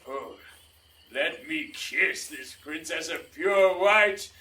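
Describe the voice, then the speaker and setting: A man's voice: a little high, takes it slowly, bright, forceful tone, far from the mic. An actor playing a besotted young suitor in a Shakespearean audio drama, declaiming his verse in an extravagant, theatrical way. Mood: rapturous adoration.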